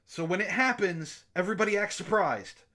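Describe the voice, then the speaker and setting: A man's voice: high-pitched, lively intonation, a little fast, a crowd in the background. A man reading a story aloud and bantering casually, with an exasperated, profane, mocking manner. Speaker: book club host and reader.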